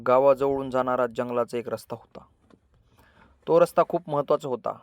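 A man narrating a scripted children's story as a storyteller, with measured, dramatic delivery in short phrases. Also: medium pitch, clear, resonant tone, no room sound.

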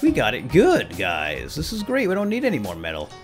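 A man's voice: medium pitch, huge pitch swings, brisk, soft background music. A man hosting a gaming let's play, giving playful, exuberant commentary as he talks to himself and viewers. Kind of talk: playful game commentary with light role-play.

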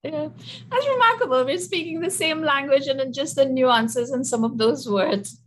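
High-voiced Trinidadian woman hosting an interview. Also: normal speed, crowd noise, poor audio. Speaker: interview host, professor. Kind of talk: conversational interviewing. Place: indoor room, seated in an armchair. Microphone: video call.

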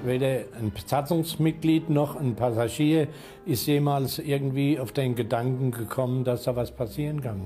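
A man's voice: mid-range voice, normal speed, music in the background. A man giving an eyewitness recollection in a documentary interview.

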